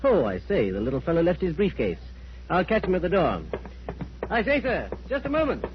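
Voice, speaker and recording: Lively, genial English gentleman, vintage radio. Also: high-pitched, fairly quick. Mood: genial, curious. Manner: hearty, chatty, exclamatory. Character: genial, inquisitive English gentleman, old soldier. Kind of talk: 1940s radio acting. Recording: dull, noisy 1940s radio recording.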